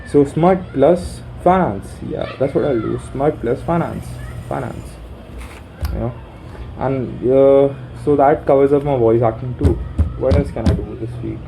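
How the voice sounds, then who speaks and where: A young man with a deep voice rambling through his plans aloud, halting and swearing casually, recorded on his phone while sitting in a room corner. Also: creaky, background noise. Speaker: vlogger. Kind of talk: rambling self-talk planning.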